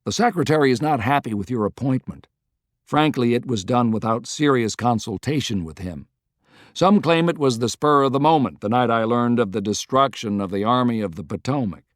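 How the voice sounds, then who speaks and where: A man's voice: medium pitch, expressive, a little fast, no room sound, clean studio sound, lightly acted. A man voicing a plain-spoken, weary male American president with a frank, folksy manner, in an audiobook. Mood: stern.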